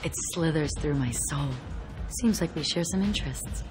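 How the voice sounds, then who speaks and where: An actress playing a seductive, predatory alien killer in a video game, with slow, sultry, intense phrasing. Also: female voice, low-pitched, music in the background, lightly acted.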